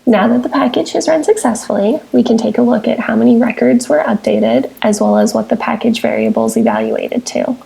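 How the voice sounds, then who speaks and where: Husky, creaky woman narrating a software tutorial. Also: a little high, sing-song, fairly quick, hardly any pauses, low-quality recording. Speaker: software tutorial presenter, technical support engineer. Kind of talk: scripted step-by-step screencast narration.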